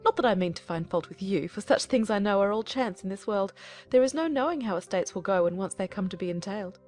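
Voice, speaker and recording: A woman voicing a fretful, effusive middle-aged mother in a dramatized classic novel, complaining in hurried bursts. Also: mid-range voice, animated, darkish tone, faint music behind, natural voice. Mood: fretful, aggrieved.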